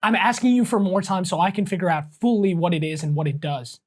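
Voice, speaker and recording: A man's voice: high voice, fast talker. A man playing a crafty deceiver in a tabletop game, speaking insistently and persuasively as he bargains. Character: crafty male deceiver bargaining earnestly, secretly scheming.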